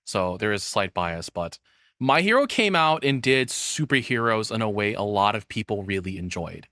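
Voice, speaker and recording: Bright, dry-miked man, low-pitched and blunt. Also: expressive, moderate pace, no room sound. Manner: rambling, blunt, sweary. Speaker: streamer reacting to a video. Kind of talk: casual opinionated reaction commentary.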